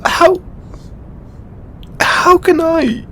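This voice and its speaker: A man playing a kind, overburdened god in an intimate audio roleplay, speaking haltingly with earnest, pleading emotion. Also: very high-pitched, gravelly, bright, forceful tone, a little hiss or hum, full character voice. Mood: anguished, overwhelmed. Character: kind, overburdened male god, anguished and benevolent.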